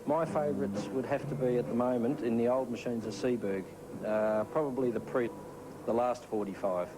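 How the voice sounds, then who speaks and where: A man's voice: mid-range voice, fairly even intonation, normal speed, rough recording. A man with an Australian accent giving unscripted answers in an interview. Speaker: interviewee, jukebox business owner. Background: music.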